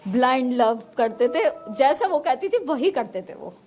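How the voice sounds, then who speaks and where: A woman's voice: sits high, big pitch swings, measured pace, a little mellow. A middle-aged woman, answering interview questions in an emphatic, emotional way and repeating herself. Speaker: mother being interviewed.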